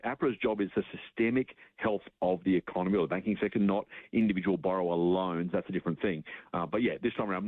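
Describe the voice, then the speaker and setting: A man's voice: on the low side, no room sound. Australian man on a tinny phone line. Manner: informal, explains with examples and asides. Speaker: finance commentator, radio guest. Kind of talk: explanatory live commentary.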